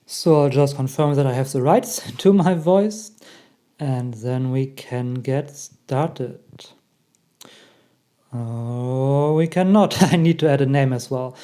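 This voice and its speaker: A young man with a German accent, hosting a casual software tutorial and chuckling at the results. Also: mid-range voice, slow pace. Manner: chatty, laughs often at results. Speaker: tech tutorial host. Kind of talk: casual screen-recorded tutorial.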